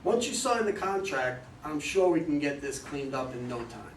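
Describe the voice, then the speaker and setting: A man's voice: on the high side, very animated, moderate pace. A man in a staged play reading as a suspicious, decisive investigator boss, with an emphatic, theatrical delivery. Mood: businesslike. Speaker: reader in a community play reading. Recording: distant mics, echoey room, faint noise.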